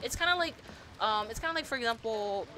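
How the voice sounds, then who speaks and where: A woman streamer rambling casually and excitedly, full of fillers and the odd swear word. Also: fairly high pitch, fast, background noise. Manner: gushing, animated, swearing casually, lots of fillers. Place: home streaming setup.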